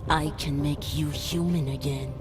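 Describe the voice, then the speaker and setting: A woman's voice: low voice, fairly even intonation, a little slow. A woman playing a menacing, zealous killer in a video game who sees herself as a saviour, with intense, preaching delivery. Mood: fervent. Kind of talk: video game cutscene acting. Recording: loud music underneath. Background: loud music.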